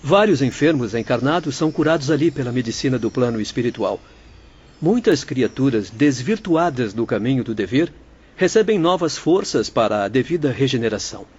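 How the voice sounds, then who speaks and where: Ator como médico espiritual de voz rouca. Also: male voice, medium pitch, animated, a little fast, a little mellow, husky, highs cut off. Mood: earnest. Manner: formal, didactic. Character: earnest, caring male spirit doctor, instructive. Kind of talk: audio drama acting.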